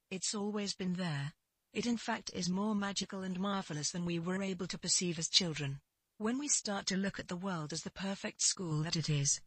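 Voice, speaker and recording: A mid-pitched, slightly rough-edged voice reads written life advice. The delivery is earnest and measured, with emphatic declarations. The sound is dry, with very little room on it. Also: a bit bright, dry recording. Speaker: spiritual advisor answering a letter. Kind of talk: scripted spiritual advice narration, reading a written reply.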